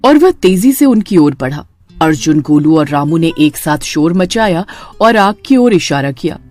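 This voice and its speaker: A woman's voice: deep voice, animated, moderate pace, a little mellow, soft background music. A woman narrator reading a scripted horror story in a dramatic storytelling manner.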